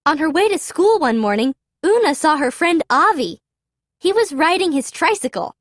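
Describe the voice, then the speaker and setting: Woman, high voice, lively intonation, children's storybook narration, expressive, close dry sound. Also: normal speed, no room sound. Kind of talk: children's read-aloud narration.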